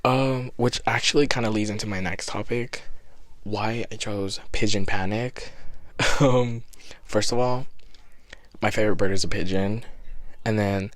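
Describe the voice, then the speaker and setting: Raspy guy in his 20s rambling on his own podcast, lowish voice, kinda out of breath, dry close mic. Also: fairly even intonation, a little slow, long pauses, no echo. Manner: rambling, self-deprecating, easily sidetracked. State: slightly out of breath. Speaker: podcast host. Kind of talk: casual solo podcast chat. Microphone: podcast microphone.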